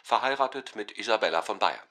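A man's voice: on the low side, expressive, fast talker, sharp tone. A man announcing in a radio play, documentary style, with factual, clipped delivery.

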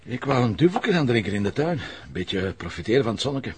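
A man's voice: medium pitch, sing-song, a little fast, slightly rough, dry recording. A man with a Flemish accent playing a wry, grumbling police commissioner with dry delivery in an audio drama. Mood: relaxed, wry. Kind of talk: naturalistic audio drama dialogue. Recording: faint background noise.

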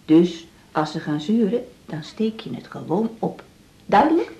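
A woman's voice: mid-range voice, big pitch swings, measured pace, dark tone. An actress playing a lonely, plain-spoken older woman who is a hospital patient in a radio drama. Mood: motherly, cheeky. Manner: plain-spoken, wheedling, folksy. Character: chatty, lonely, frail but cheeky older female hospital patient. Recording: roomy, echoing radio drama studio.

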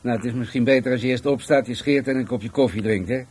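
A man's voice: medium pitch, quick pace, a little mellow, slightly rough, close to an everyday voice. A man acting an older police commissioner in a Dutch radio drama, fatherly and muttering, with hesitant turns. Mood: brisk, commanding. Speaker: actor in a radio play.

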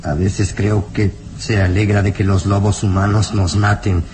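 A man's voice: fairly low pitch, little pitch movement, close to an everyday voice. Man acting anguished peasant, noisy radio drama. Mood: bitter, fearful. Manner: anguished, emphatic, halting. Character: frightened, grieving burly male peasant villager, bitter.

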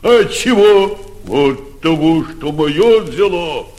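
An actor in a 1940s radio play, playing a gloating, vindictive town mayor with pompous, sarcastic, theatrical delivery. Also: male voice, a little high, animated, slow pace, darkish tone, soft background music. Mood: gloating, scolding.

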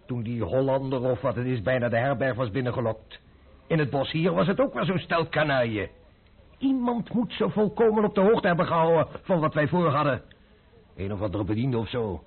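An actor playing a hot-headed baron and dragoon officer in a Dutch radio play, gruff, clipped and indignant. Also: male voice, mid-range voice, lively intonation, brisk. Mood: blustering, exasperated. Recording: tinny, phone-like old radio play recording.

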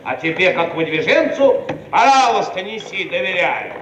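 A pompous Soviet bureaucrat in an old radio comedy, indignant and imperious. His voice is pitched very high and sounds distant in a big echoing hall. He declaims every demand with great self-importance. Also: animated, normal speed, lo-fi sound. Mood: condescending. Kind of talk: theatrical radio play acting. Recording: echoey noisy archival radio-play recording, distant.

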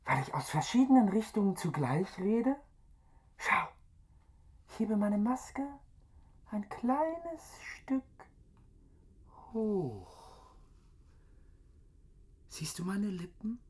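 Deranged phantom, swooping theatrical high voice. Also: male voice, sing-song, extremely slow, long pauses, darkish tone, full character voice. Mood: playful, eerie. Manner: theatrical, wildly swinging from whispers to high calls. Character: deranged masked phantom, theatrical, menacing, lovesick male ventriloquist. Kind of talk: radio drama acting.

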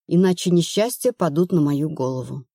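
A woman's voice: sits low, expressive, normal speed, mellow, no echo, studio quality. A woman narrator playing an anxious, stubborn woman of thirty-one in an audiobook, plaintive and earnest. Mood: uneasy confiding.

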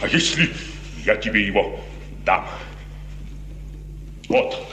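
An actor playing an anguished, proud father in a 1950s radio tragedy, theatrical, moving between hushed grief and loud cries. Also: fairly low pitch, expressive, slow, a little hiss or hum, exaggerated voice. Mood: alarmed. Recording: 1950s radio recording, echoey hall, music.

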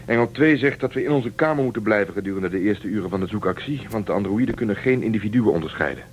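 A man playing a worried, serious crewman in a science fiction radio play. He speaks low and measured, in a slightly muffled recording with a faint hum. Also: lively intonation, natural voice. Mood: grave, informing. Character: grave, worried male spaceship crew member. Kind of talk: Dutch radio drama acting.

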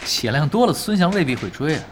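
An actor playing a laid-back young esports expert in an audio drama, casual, patient and teasing, explaining in an analytical way. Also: male voice, a little high, very animated, quick pace, background noise.

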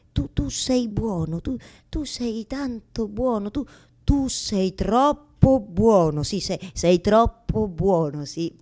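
An actress playing an anguished, fearful woman who argues with God in a halting, repetitive theatrical monologue. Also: mid-range voice, dark tone. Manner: halting repetitions, pleading, swings from murmurs to outcries.

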